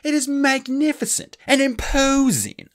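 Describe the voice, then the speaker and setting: Guy reading snooty know-it-all boy dragon, huffy, a bit higher than his own voice. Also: big pitch swings, normal speed. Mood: indignant. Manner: lofty, huffy. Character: lofty know-it-all young male dragon.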